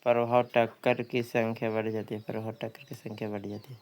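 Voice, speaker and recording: A man's voice: lowish voice, level tone, brisk, a little mellow, a little hiss or hum. A man working through practice questions as a coaching teacher, reading each one out and repeating questions and answers.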